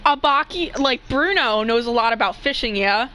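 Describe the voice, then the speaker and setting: A woman chatting casually as a co-reader, blunt, slangy and quick to swear. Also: fairly high pitch, lively intonation, sharp tone, slight background noise. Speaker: co-reader and commentator. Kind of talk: casual chat.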